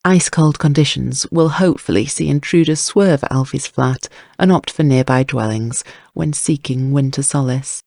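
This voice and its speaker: Guy reading a crime audiobook, lots of fry, mellow tone, wry storytelling. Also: mid-range voice, normal speed, few pauses, no room sound, studio quality. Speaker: audiobook narrator. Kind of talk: scripted crime fiction narration.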